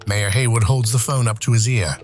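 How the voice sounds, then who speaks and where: A man's voice: mid-range voice, brisk, slight background noise. A man reading scripted screenplay narration for an audio drama.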